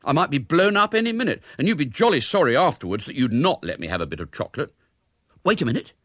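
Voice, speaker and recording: Man voicing a cheeky, high-pitched British schoolboy. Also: huge pitch swings, fairly quick, a bit bright, husky, no room sound, clean studio sound, exaggerated voice. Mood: eager, pleading. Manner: pleading, protesting. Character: cheeky, argumentative young schoolboy, indignant and eager.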